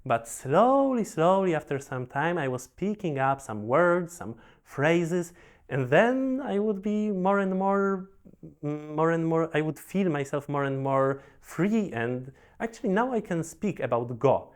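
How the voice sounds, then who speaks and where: A young man from Poland with a Polish accent, a professional Go player, telling his story in a relaxed, halting way with many restarts and fillers. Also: a little high. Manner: halting, repeats words, frequent fillers.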